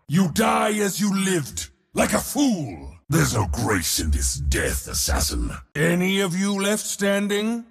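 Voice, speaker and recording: An actor playing a boastful, battle-hungry god of war in a video game, with a pompous, theatrical swagger. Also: male voice, a little high, gravelly, big-room sound, full character voice. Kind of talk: video game character voice lines.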